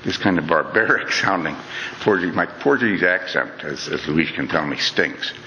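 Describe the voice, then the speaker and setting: Deep-voiced man lecturing in a hall. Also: animated, moderate pace, bright, forceful tone, slight background noise. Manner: earnest, conversational, with frequent "you know" and restarts. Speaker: speaker giving a talk. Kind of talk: reflective lecture to an audience. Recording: dull lo-fi recording in a reverberant hall.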